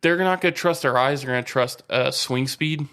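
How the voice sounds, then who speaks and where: Mid-pitched man talking baseball calmly. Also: clean tone. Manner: steady, explains and asks questions, slows for emphasis. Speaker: podcast co-host. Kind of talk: casual sports chat.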